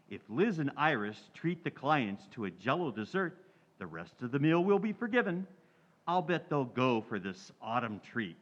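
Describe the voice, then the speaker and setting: A lively, swooping male voice of middle pitch on a slightly dull recording. A man plays a folksy, cheery 1940s radio announcer, polished in a scripted pitchman style. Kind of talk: 1940s radio ad and scene narration. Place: community theatre stage radio recreation.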